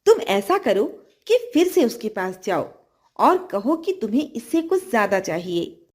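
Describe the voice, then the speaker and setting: A greedy stepmother from a children's tale gives insistent orders , acted out by a woman storyteller. The voice is animated and measured in pace, bossy and demanding throughout. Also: fairly high pitch.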